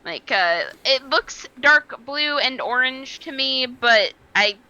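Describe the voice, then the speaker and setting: A bright, chatty woman co-host. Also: high-pitched, animated, slow, lo-fi sound. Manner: chatty, heavy use of "like". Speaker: podcast co-host. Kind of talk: casual chat. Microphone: video call.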